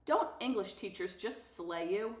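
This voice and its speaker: A woman's voice: mid-range voice, animated, unhurried, darkish tone, reverberant, close to an everyday voice. A woman reading as a self-deprecating thirteen-year-old boy, telling his story in a wry, confiding way from a novel. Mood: wry, exasperated. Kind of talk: dramatised novel read-aloud.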